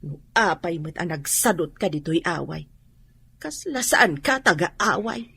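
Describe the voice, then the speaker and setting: A woman's voice: on the low side, lively intonation, unhurried, creaky, pushed and bright, breathy edge, heavily acted. An actress in a radio drama playing a worried, disapproving middle-aged rural mother with a Filipino accent. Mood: reproachful.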